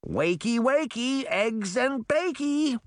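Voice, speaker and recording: A man's voice: on the high side, big pitch swings, moderate pace, fairly bright tone, no echo, clean studio sound, voice pushed up. A man voicing a cheeky, mischievous boy in a storybook, with a playful, taunting way of talking. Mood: teasing.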